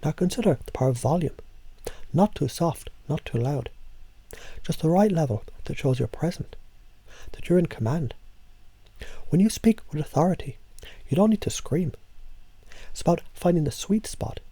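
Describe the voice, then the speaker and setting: Man, voice coach, calm half-whisper, gravelly, dry close sound. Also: on the high side, normal speed, pauses often, no room sound. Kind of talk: guided voice exercise, slow and hypnotic.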